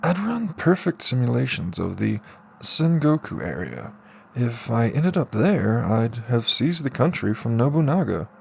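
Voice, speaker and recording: A man's voice: medium pitch, sing-song, unhurried, vocal fry, darkish tone, husky, heavily acted. A man voicing a frustrated, self-mocking young man in an audiobook, muttering and complaining. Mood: musing, boastful. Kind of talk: audiobook character reading.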